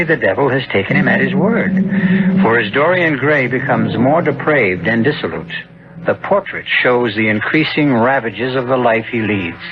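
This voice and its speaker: A man narrates a vintage radio mystery with solemn drama. His voice is mid-pitched and measured, with clear music behind him. The broadcast sounds dull.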